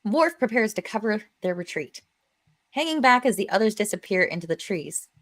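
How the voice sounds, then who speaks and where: A woman's voice: medium pitch, very animated, normal speed. A woman hosting a live script read, narrating the scenes in between casual chat.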